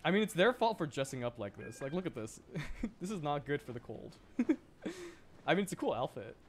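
A man's voice: fairly high pitch, very animated, moderate pace, slight background noise. A young man, chatting casually and playfully in a rambling way as he livestreams a game. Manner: playful, rambling, laughs often. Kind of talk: casual gaming livestream chat.

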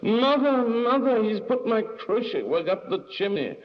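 Storybook role: distraught household voiced by a man, melodramatic wailing and fretting, high and swooping. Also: big pitch swings, moderate pace, in a large hall, dull audio, natural voice. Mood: shrieking. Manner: lamenting, melodramatic. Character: wailing, fretful parents and shrieking sister in household uproar.

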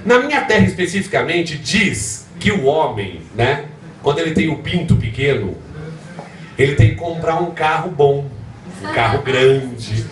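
A man's voice: fairly high pitch, lively intonation, measured pace. A male drag stand-up comedian from the São Paulo countryside with its accent, telling bawdy jokes and teasing the audience, laughing as he goes, on a handheld mic filmed from the audience. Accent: São Paulo interior Brazilian Portuguese. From Brazil. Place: small comedy clubs and halls with live audience. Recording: echoey venue, far camera, audience noise.

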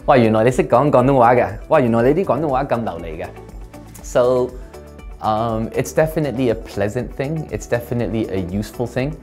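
A man's voice: mid-range voice, brisk. A man in his thirties from Hong Kong, answering interview questions in an office, speaking earnestly with frequent ums, recorded on a lapel mic. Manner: earnest, steady, filler-laden answers. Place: office room indoors. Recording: music bed under voice. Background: soft guitar music.

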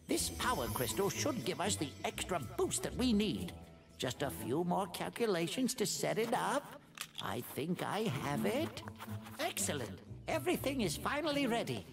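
A man's voice: high-pitched, huge pitch swings, moderate pace, gravelly, heavily acted. An actor voicing a fussy old male professor, a brainy inventor, in a video game. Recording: game audio with music behind.

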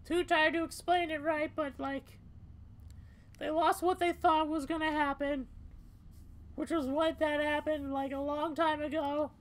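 A man's voice: very high voice, level tone, unhurried, a bit bright. A man voicing an exhausted, frightened young female animal in a dramatic video game reading. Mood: drained, shaken.

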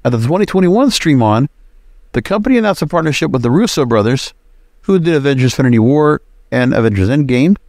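A man's voice: medium pitch, expressive, quick pace, a little mellow, no room sound, studio quality. A man hosting a podcast, giving informal, opinionated commentary on his own in a conversational, emphatic way. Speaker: podcast host.

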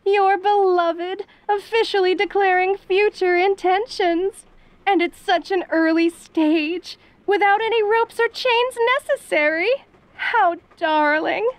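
A perky yandere mom with an extremely high, girlish voice. She speaks very slowly and theatrically, doting and teasing with genteel sweetness in an audio roleplay. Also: fairly even intonation, dry recording. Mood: gushing. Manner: sugary, theatrical, genteel endearments. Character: perky, doting, middle-aged yandere mother, teasingly clingy.

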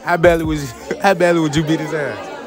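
A man's voice: on the high side, fairly even intonation, fast talker, music in the background, crowd noise. A young man co-hosting a casual public interview outdoors, teasing and laughing in slang. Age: young adult. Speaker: street interviewer. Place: outdoor schoolyard.

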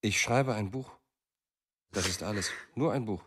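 An actor in a radio drama playing a mild, evasive writer in a restrained manner. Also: male voice, fairly low pitch, unhurried, fairly bright tone, a little hiss or hum. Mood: placating. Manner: restrained, placating, brief sentences. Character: mild, evasive writer husband, male, absorbed in his book.

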